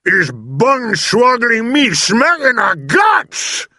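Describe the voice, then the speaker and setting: An angry, loutish storybook giant. The voice is raised high and yelled, slow and indignant, with a rough rasp. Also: male voice, huge pitch swings, no echo, studio quality, heavily acted. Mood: outraged. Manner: drawn-out indignant yelling. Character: angry loutish giants woken by blows, yelling.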